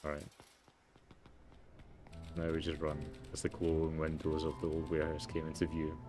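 A man doing low-key let's play commentary, reading story text aloud and muttering asides. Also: completely flat delivery, moderate pace. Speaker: gamer, let's player. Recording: music behind the voice. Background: game music.

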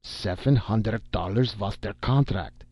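An indignant old German American craftsman played by a male narrator insists on his due with a German accent. The voice is gravelly and stubborn, in a roomy storybook recording. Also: on the low side, a little slow, dark tone. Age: older. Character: short plump elderly German American tattooist, angry, demanding payment. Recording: dull, roomy amateur audiobook recording.

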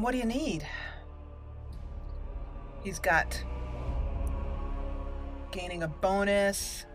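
A woman's voice: medium pitch, fairly bright tone. A woman hosting a gaming channel, giving casual, playful let's play commentary and talking to the characters. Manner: playful, talks to game characters.

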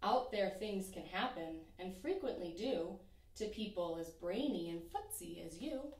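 A young woman teacher reading a rhyming children's picture book aloud in an expressive, sing-song way. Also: fairly low pitch, measured pace, recorded from a distance. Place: small room in front of a painted backdrop. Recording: echoey room, voice from off-mic.

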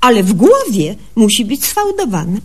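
A woman's voice: on the high side, very animated, moderate pace, darkish tone, slightly rough. A woman playing a naive, self-assured young country doctor in a comic radio sketch, theatrical and mock-learned, with folksy slips. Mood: confidently explaining.

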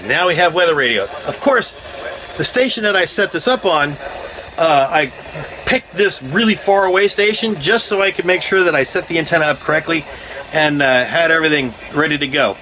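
Bright-voiced middle-aged American man, casual. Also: a little high, animated, a little fast. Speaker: DIY vlogger. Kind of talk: casual explanatory how-to talk. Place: inside a small aluminum cargo or horse trailer. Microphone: phone at arm's length. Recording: noisy small metal trailer interior.

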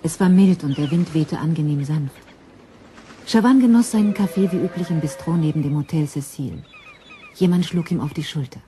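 A woman giving measured, sober scripted narration for a German crime radio play. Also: low-pitched, mellow, slight background noise.

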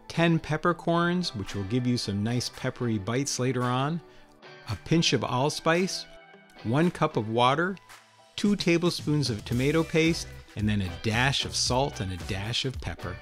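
A man in his fifties walks through recipes one step at a time, in the easy, explanatory way of a home cooking host. His voice sits at a middle pitch and is recorded close in a kitchen, with faint music underneath. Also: lively intonation, unhurried, fairly bright tone. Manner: friendly, explanatory, step-by-step instructions. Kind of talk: casual cooking demonstration.

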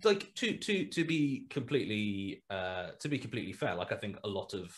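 Low-voiced man, rambling. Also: normal speed. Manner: rambling, many restarts, animated asides. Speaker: guest, horror podcast writer. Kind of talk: casual video call interview. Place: small home room indoors. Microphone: headset mic on video call.

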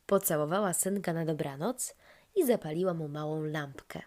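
A woman storyteller narrating a scripted tale for children in an expressive, deliberate manner. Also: low voice.

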